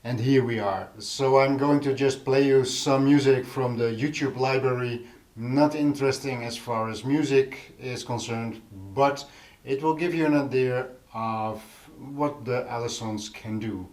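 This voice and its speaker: Man, medium pitch, slow pace, casual vlog host, explanatory, pauses mid-sentence. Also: steady tone, darkish tone. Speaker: vlog host reviewing hi-fi audio gear. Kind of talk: casual informal product talk to camera.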